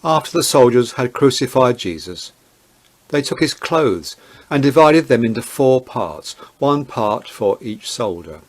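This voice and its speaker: A man narrating a dramatized Bible reading in a measured, deliberate way. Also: medium pitch, very animated.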